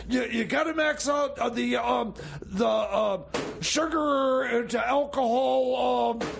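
Man playing a high, gravelly, stammering scatterbrain mechanic. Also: full character voice. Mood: flustered rambling. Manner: stammering, halting, losing his thread. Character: rambling, scatterbrained male time-machine mechanic and home brewer. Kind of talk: improvised comedy radio theater. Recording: noisy recording.

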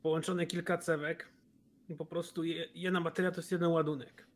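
A man's voice: on the high side, flat delivery, brisk. A man playing a tabletop role-playing game, casually talking through ideas. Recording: noticeable background noise.

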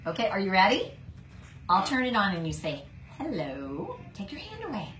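Animated middle-aged woman coaching in echoey room. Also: medium pitch, normal speed. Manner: gentle, encouraging, animated. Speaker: speech therapist demonstrating a device to a child. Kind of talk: encouraging hands-on instruction to a young child. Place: small indoor clinic room. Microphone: handheld phone camera at short distance. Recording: roomy, noisy phone video recording.

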